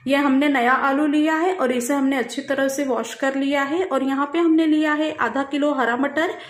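A woman hosting a cooking channel, calmly narrating a recipe step by step in her home kitchen. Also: sits high, normal speed, roomy sound. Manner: calm, instructional, repeats "we will add".

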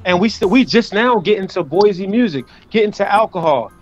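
A man's voice: high-pitched, lively intonation, brisk, dull audio, faint music behind. A man hosting an online interview show over a video call, talking loosely with jokes and swearing. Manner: loose, joking, profane banter. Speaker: host. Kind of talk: casual interview chat. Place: room at home indoors. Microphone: webcam on a video call.

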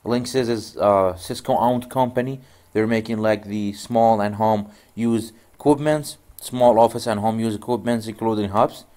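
Man, native Arabic speaker, networking instructor, low voice, rambling, thinking aloud, desktop mic. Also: fairly even intonation, moderate pace, hardly any pauses, warm tone. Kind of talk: screen-recorded tutorial.

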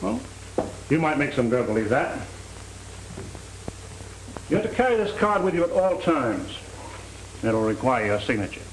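A man's voice: on the high side, big pitch swings, moderate pace, pauses often. A middle-aged man playing a stern, by-the-book parole officer in 1930s film style, with a firm, clipped delivery. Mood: stern advice. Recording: noisy 1930s film soundtrack.

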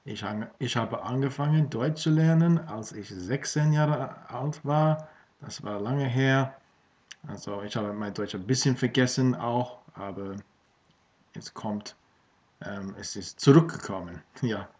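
A man's voice: medium pitch, measured pace, darkish tone. A man in his thirties from Australia, a native English speaker, talking casually in a learned language with many fillers and restarts, filmed by a webcam at home. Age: 30s. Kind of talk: casual vlog in German. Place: small room at home with bookshelves. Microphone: webcam at desk. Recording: dull lo-fi webcam audio.

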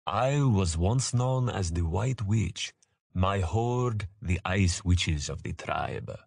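A man voicing an ancient male dragon in a fantasy audiobook, gravelly, formal, grave and patient, with weighty, slow delivery. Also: deep voice, expressive, darkish tone, dry recording, clean studio sound, exaggerated voice. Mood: solemn, regretful. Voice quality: gravelly, tight with disuse.

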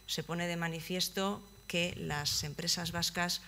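A young woman giving a conference presentation, pausing often with fillers and restarting phrases, seated at a table in a conference hall. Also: lowish voice, steady tone, creaky, bright, forceful tone, a little hiss or hum. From Basque Country, Spain. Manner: hesitant, many fillers and restarts. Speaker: project manager presenting at a conference.

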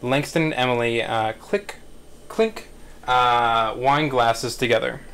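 A man in his thirties narrating screenplay directions in a measured, halting way at a table read. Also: medium pitch, a bit bright, clean tone.

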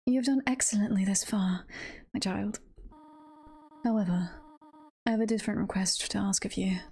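A woman voicing a gentle, protective motherly monster in a video game, half-whispered, with careful formal phrasing. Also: mid-range voice, normal speed, natural voice. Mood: tender, apologetic.

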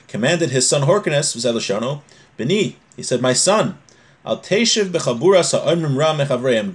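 A man in his forties teaching an informal religious lesson, reading aloud and explaining, often repeating and rephrasing. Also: medium pitch. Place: small home room, indoors.

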